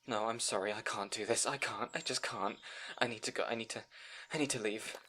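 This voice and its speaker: A man's voice: on the low side, fairly even intonation, fairly quick, sharp tone. A man playing a timid, bookish young scribe in an audio roleplay, speaking to the listener in an anxious, hesitant, stammering way. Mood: panicked.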